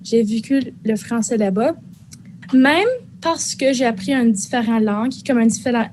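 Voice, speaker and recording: A teenage girl from northern Ontario, a high school student speaking casually and hesitantly, with many fillers. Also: a little mellow, a little hiss or hum. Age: teens. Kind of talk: spoken testimony.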